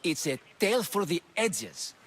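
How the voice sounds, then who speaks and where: A lovesick greek jock in a game, super dramatic, voice high and swooping all over. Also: male voice, very animated, unhurried, fairly bright tone, full character voice. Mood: dreamy, adoring. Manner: theatrical, gushing, dramatic. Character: lovesick melodramatic male ancient Greek athlete, fretful and smitten. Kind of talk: video game cutscene acting.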